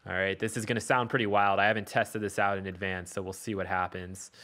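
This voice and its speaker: A man's voice: deep voice, little pitch movement, quick pace, sharp tone. A man hosting a casual music production tutorial, thinking aloud informally as he demonstrates. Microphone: voice-over on a screen recording. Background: electronic music and loops.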